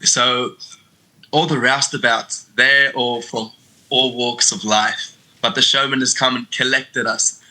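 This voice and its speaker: A man's voice: medium pitch, steady tone, takes it slowly, sharp tone, poor audio. A young Australian man in his twenties, a stage performer, answering interview questions over a video call in a friendly, loose way with many fillers. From Sydney, Australia. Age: 20s. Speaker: stage actor and dancer, guest.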